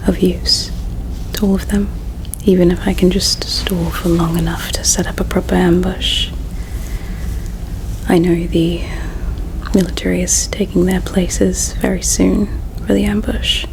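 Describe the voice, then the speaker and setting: A woman voicing a gentle, resigned alien royal in a breathy, hushed whisper, tender and halting, as in an ASMR roleplay. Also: on the low side, background noise. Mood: solemn, resigned. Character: gentle, resigned female alien royal, self-sacrificing and caring.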